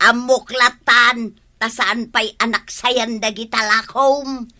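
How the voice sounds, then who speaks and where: A woman's voice: fairly high pitch, steady tone, takes it slowly, sharp tone. A woman playing a scheming, bossy village customer in a comedy drama, teasing in an Ilocano accent. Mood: casual.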